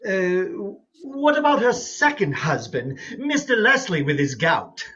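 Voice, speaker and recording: Guy doing sweet bewildered doctor in a farce, high husky voice, dull lo-fi sound. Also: very animated, sharp tone, heavily acted. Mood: puzzled. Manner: hesitant, slow to catch on. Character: sweet, gullible, bewildered male physician. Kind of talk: comic farce readers theatre.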